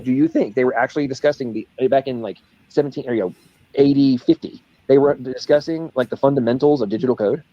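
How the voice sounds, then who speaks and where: A man's voice: medium pitch, fast, warm tone, dry recording. A man arguing in a heated informal debate, insistent and exasperated. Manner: exasperated, foul-mouthed, insistent, cutting in. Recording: dry, dull lo-fi audio.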